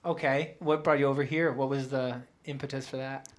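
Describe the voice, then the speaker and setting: A man hosting a podcast interview, asking questions with many pauses and restarts. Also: medium pitch, flat delivery. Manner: halting, many fillers and restarts. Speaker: podcast host.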